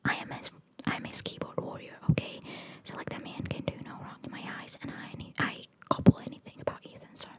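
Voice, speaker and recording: A woman speaks entirely in a soft, breathy whisper in the manner of an ASMR host. She reads out short bits of trivia and drops in casual, opinionated asides. Her delivery stays chatty and playful while fully unvoiced. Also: fast, few pauses.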